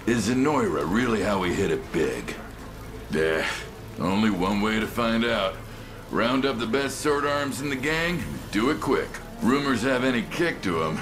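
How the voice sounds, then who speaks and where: A male actor plays a roguish mercenary captain in a video game. His low voice swings widely with slangy, wry bravado. Music plays clearly behind him. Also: expressive, moderate pace, natural voice. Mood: wry, calculating. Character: roguish mercenary boss turned knight, wry gambler. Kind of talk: video game cutscene voice acting.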